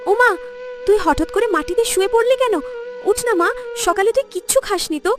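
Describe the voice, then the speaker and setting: Very high, plaintive little girl. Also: animated, brisk. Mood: frightened, pleading. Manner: childlike, whining. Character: small, clingy, plaintive little village girl. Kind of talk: Bengali cartoon moral-story voice acting. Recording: music under the voice.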